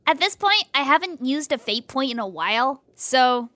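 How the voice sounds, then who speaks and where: A woman's voice: high voice, big pitch swings, moderate pace, pushed and bright, no room sound, clean studio sound. A woman chatting casually as a player in a tabletop role-playing podcast. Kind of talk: casual game chat.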